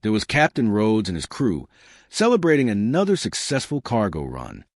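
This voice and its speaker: A man's voice: moderate pace, no echo, clean studio sound. A man narrating a scripted story, lifting his voice for the characters' lines. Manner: lively storytelling, shifting pitch for quoted characters.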